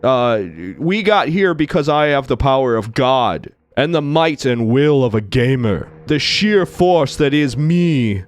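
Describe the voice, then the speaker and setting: A man's voice: medium pitch, lively intonation, a little slow, hardly any pauses, no echo. A man playing a game and chatting through it, playful and rambling, still getting over losing his voice. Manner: playful, rambling, self-mocking. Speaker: gaming channel host. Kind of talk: Let's Play commentary with character voices.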